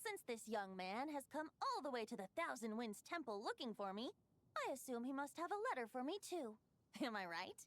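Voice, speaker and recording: A woman's voice: high voice, sing-song, a little fast, a bit bright, no room sound. A woman voicing a youthful, playful boy bard in a video game. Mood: playful, proud.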